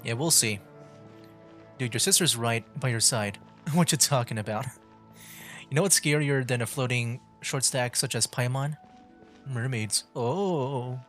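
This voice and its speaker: A man livestreaming a game with casual, rambling commentary, halting and full of fillers. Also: medium pitch, fairly bright tone, soft background music. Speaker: livestreaming gamer.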